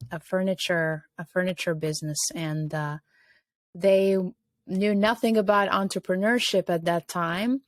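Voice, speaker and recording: A woman's voice: lowish voice, no room sound. A middle-aged woman from Albania, a guest in an interview, speaking reflectively with many fillers.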